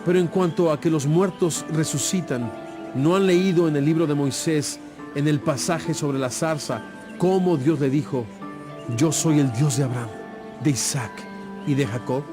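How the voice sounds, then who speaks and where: A man plays a composed, authoritative holy teacher in a biblical audio drama. His voice is on the higher side, solemn and emphatic, and music plays clearly underneath. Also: moderate pace, lightly acted. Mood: challenging. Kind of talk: dramatized audio Bible acting. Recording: music playing behind.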